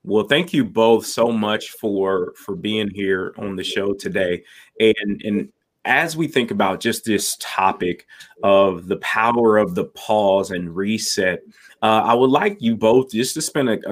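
A man hosts an interview show with a chatty, enthusiastic energy. He welcomes guests warmly in tone, restarts his sentences and strings several questions together. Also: lowish voice. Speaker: podcast host. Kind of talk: upbeat interview hosting.

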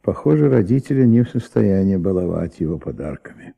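An actor playing a calm, methodical police investigator in a Soviet radio play, with a measured, probing, polite way of speaking. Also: male voice, deep voice, vocal fry, husky, phone-quality sound, soft background music, full character voice. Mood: reflective. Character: calm, methodical male police investigator questioning a young witness.

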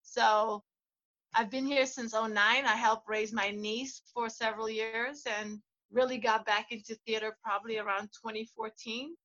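A woman from South Africa, a guest actor, telling her story in a thoughtful, measured way in casual conversation. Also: a little high, fairly even intonation, bright, forceful tone, muffled recording. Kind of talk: casual interview chat.

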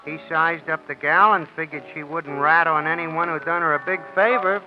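An actor playing a chatty, gossipy bartender with folksy, ungrammatical talk in an old radio drama. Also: male voice, on the high side, fairly even intonation, moderate pace, soft background music, natural voice. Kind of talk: 1940s radio comic-relief acting. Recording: muffled old radio recording.

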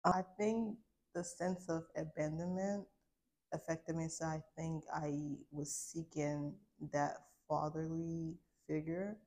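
A young woman in her twenties shares a personal testimony in a low, fairly flat voice. She speaks informally and reflectively, with a candid conversational flow and a creaky edge. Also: slow, rough recording. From Liberia, later United States. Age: 20s. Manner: informal, reflective, frequent use of "like". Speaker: guest sharing a personal testimony.

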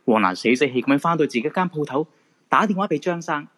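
A man's voice: a little high, expressive, fast talker, a bit bright. A man with a Hong Kong Cantonese accent giving dramatic scripted narration for a radio drama.

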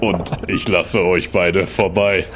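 A giant robot orc acted out by a man speaks very slowly in a low voice, dragging out commanding demands. It is an improvised fantasy audio play with clear vehicle-like noise behind it. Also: steady tone. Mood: slow, imposing. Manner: slow, drawn-out, commanding delivery.

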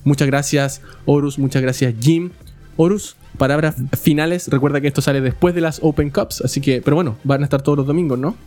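A man's voice: a little high, brisk, a little mellow, faint music behind. Chilean man hosting a casual podcast. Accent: Chilean Spanish. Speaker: podcast host. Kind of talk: casual podcast talk about esports.

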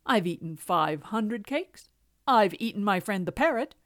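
A woman's voice: medium pitch, animated, unhurried, fairly bright tone, no echo, studio quality. A woman voicing a boastful, greedy fat tomcat in a children's storybook, with a swaggering, scornful manner.

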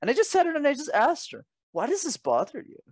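A man's voice: very high-pitched, wildly swooping pitch, quick pace, no room sound, studio quality. A young man giving conversational advice as an interview guest, emphatic and articulate, often posing rhetorical questions. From Canada. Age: young adult. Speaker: interview guest, communication coach. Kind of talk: conversational advice and personal anecdotes.

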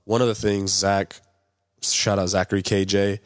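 A man's voice: sits low, little pitch movement, normal speed, dry recording, studio quality. A man co-hosting a casual sports podcast, sick and nasal with a stuffy nose, joking and swearing through the banter. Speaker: podcast co-host.

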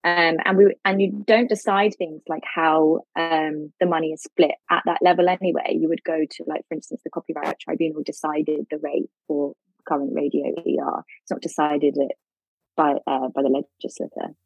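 A woman with a husky, fairly low voice explains as a legal expert guest. She talks informally, and the recording is dry but dull and muffled. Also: little pitch movement, moderate pace, no echo.

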